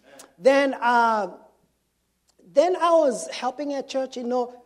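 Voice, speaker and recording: A middle-aged man from Kenya with a Kenyan accent, telling his testimony to a church congregation in an unhurried storytelling way, with many pauses and fillers. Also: very high voice. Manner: warm storytelling with frequent fillers. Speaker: missionary speaker.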